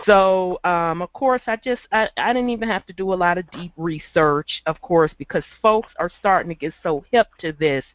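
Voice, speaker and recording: A man hosting a call-in radio show, hoarse from a cold, talking in a laid-back, chuckling, folksy way. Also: high-pitched, normal speed, few pauses, dry recording, muffled recording. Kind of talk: casual call-in radio chat.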